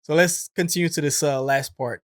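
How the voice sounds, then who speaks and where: A man's voice: on the high side, fairly even intonation, normal speed, background noise. A man giving casual, conversational explanatory commentary as a host, in an informal manner. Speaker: host, former military air traffic controller.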